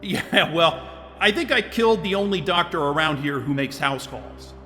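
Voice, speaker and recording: Frazzled loner, male, grumpy, profane, high bright voice, reverberant. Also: animated, moderate pace, faint music behind. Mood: strained. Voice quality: blunt and profane. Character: stressed isolated man, grumpy, blunt, swearing. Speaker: lead character. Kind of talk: audio drama acting.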